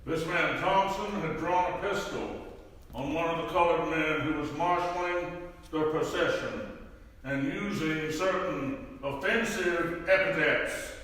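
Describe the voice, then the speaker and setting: A man's voice: fairly high pitch, measured pace, fairly bright tone. A man playing a policeman giving a formal report in a dramatic reading. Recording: very echoey hall, far from mic.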